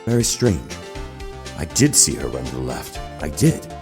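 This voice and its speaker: A man's voice: rumbling low, sing-song, moderate pace. A man playing a vain, smug male witness in a video game courtroom drama, speaking in a pompous, flowery way. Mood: puzzled. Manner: pompous, flowery, self-satisfied. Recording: clear music behind the voice.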